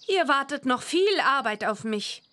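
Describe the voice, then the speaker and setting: An actress playing an eager, expressive young witch girl in a children's game. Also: high voice, unhurried, exaggerated voice. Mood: cheerful, eager. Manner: bubbly, expressive. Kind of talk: children's game character dialogue.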